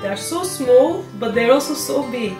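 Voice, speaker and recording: A woman farmer from Turkey with a Turkish accent, speaking thoughtfully in a casual interview and pausing with fillers. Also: high voice, lively intonation, echoey room, over music, rough recording.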